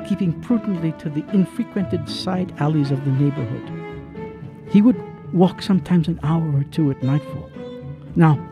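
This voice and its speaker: A man narrating a drama from a script, with measured, solemn storytelling. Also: fairly high pitch.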